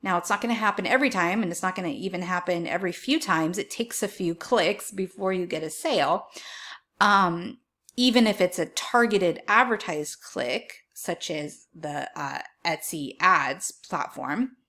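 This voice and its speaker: A woman giving an informal explainer with advice to viewers, speaking in a measured, emphatic way as she lays out each point. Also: fairly low pitch, lively intonation, a bit bright. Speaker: online seller giving marketing tips.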